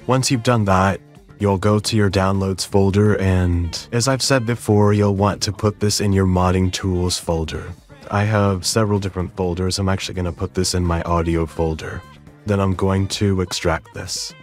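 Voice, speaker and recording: A man narrating a step-by-step software tutorial as its host. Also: low voice, fairly even intonation, moderate pace. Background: background music.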